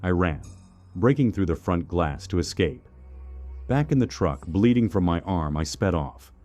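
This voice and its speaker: A man reads a scripted first-person horror story in a measured, suspenseful way, using short clipped phrases. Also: low-pitched, lively intonation, a little mellow. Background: music under the voice.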